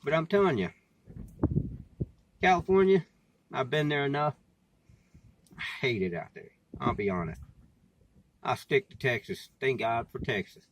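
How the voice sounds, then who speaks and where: A man's voice: mid-range voice, unhurried, pauses often. An American man in casual, rambling vlog talk, plain-spoken and folksy, often repeating his phrases. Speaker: vlogger and CB radio hobbyist. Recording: clearly noisy background, amateur recording.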